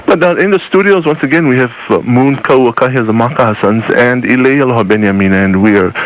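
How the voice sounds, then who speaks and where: A man's voice: medium pitch, fast, low-quality recording. A man hosting a live radio interview in a studio, chatty and laughing often. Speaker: radio host.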